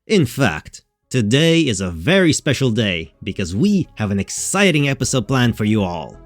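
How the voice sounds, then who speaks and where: Man playing a lively game show host. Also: medium pitch, moderate pace, faint music behind, lightly acted. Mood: showy, upbeat. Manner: theatrical presenter patter. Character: showy male game show host, secretly reluctant. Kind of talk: audio drama game show hosting.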